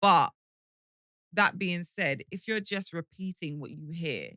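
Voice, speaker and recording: A woman's voice: lowish voice, lively intonation, slow pace, pushed and bright, no echo, clean studio sound. A young woman from the UK, co-hosting a podcast and earnestly explaining her points in casual, slangy chat. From United Kingdom. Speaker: podcast co-host.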